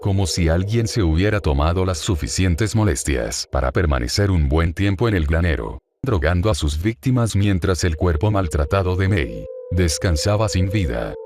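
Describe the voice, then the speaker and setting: A man with a very low voice delivers scripted horror narration in the style of a crime report. His delivery is grave, measured and formal. Clear noise and ambience sit behind the voice. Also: lively intonation.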